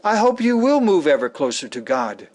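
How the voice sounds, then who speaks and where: A man's voice: on the high side, big pitch swings, normal speed, darkish tone. A man voicing a humble, kindly believer in a dramatic reading, earnest and obliging as he offers help.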